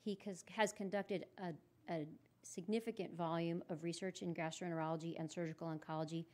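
A woman's voice: fairly low pitch, fairly even intonation, brisk, a little mellow. A woman introducing people from notes as a presenter at a public meeting, recorded in a noisy room. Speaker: residency program presenter.

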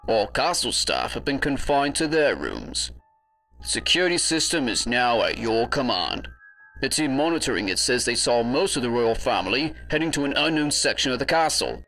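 A man voicing a dutiful henchman who reports formally in an animated comic dub. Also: medium pitch, normal speed. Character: dutiful male henchman in animated fantasy villain's service.

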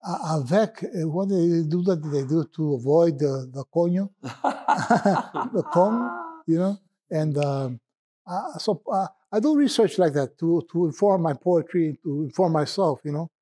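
A man with a Puerto Rican accent, a poet telling stories in a rambling, reminiscing way with filler pauses. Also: on the high side, expressive, dark tone. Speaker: poet guest. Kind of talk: relaxed conversational storytelling.

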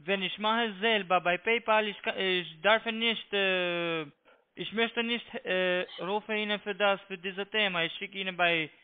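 A man with a foreign accent, not a native speaker, making a halting, repetitive phone pitch over a narrow tinny line. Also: steady tone, smooth. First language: not German. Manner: halting broken German, repetitive. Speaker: scam call-centre agent. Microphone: telephone.

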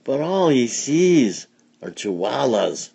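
A man's voice: mid-range voice, sing-song, slow, creaky, warm tone, slightly rough, pitched above the performer's own voice, full character voice. A man voicing little girl kittens, cheeky tattletales in a storybook. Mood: cheeky tattling.

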